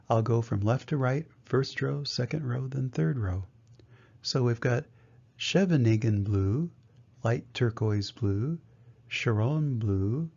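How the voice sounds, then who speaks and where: An older man, a grandfather, reads a children's storybook aloud in a measured, gentle way. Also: mid-range voice, husky. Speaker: grandfather reading a picture book aloud. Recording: dull, muffled lo-fi sound.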